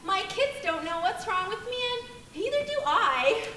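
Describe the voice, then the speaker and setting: A young woman acts a sick, bewildered mother on stage. The voice is very high and moves up and down sharply. The room echoes around it, with faint noise. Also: big pitch swings, moderate pace, pushed and bright, heavily acted. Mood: distressed, bewildered. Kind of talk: theatrical stage monologue. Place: theater stage.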